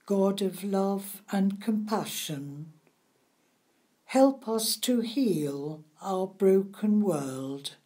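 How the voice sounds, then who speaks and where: Woman reading church prayers, really slow and measured, warm dark-ish tone, reverent. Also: mid-range voice, expressive. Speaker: lay prayer leader. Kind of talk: church intercessory prayer, read aloud.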